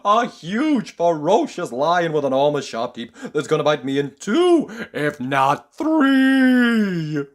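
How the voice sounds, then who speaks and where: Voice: mid-range voice, big pitch swings, slow pace, voice pushed up. A storyteller voicing a tiny, timid male creature who panics at everything, shrieking and stammering in a storybook reading. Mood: panicky.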